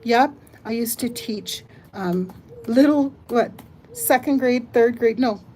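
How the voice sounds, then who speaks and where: A woman's voice: a little high, slow. Chatty older woman hosting a live stream. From United States. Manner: chatty, reads chat aloud, thinks out loud. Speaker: livestream host. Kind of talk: casual live stream chat.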